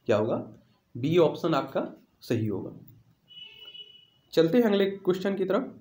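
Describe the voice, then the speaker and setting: Higher-voiced male quiz teacher in echoey room. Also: big pitch swings, a little slow, warm tone. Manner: repeats questions and answers for emphasis. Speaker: teacher presenting exam quiz questions. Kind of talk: instructional quiz walkthrough.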